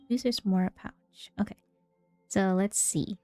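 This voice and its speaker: A woman's voice: mid-range voice, steady tone, takes it slowly, dark tone. A woman livestreaming a game, chatting casually with viewers in a friendly, giggly manner. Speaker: game streamer. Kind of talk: casual livestream chat while playing. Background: game music.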